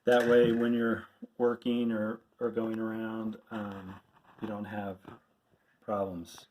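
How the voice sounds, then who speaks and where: A man's voice: fairly low pitch, level tone, slow pace, a little mellow, slight background noise, low-quality recording. A man giving an informal hands-on tutorial, explaining things plainly and step by step as an instructor. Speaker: radio instructor.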